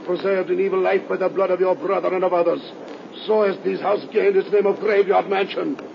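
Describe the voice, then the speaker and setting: An actor playing a stern, relentless vampire-hunting doctor in a grave, theatrical 1930s radio horror play. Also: male voice, sits high, steady tone, fairly quick, creaky. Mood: grim, solemn. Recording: dull, noisy 1930s radio recording.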